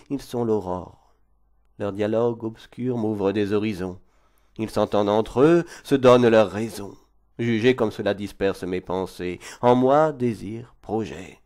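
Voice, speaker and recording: A man recites verse at a slow pace in a mid-pitched voice. His delivery is expressive and declamatory, with measured phrases set apart by dramatic pauses, in the manner of a literary reading. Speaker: poetry reader.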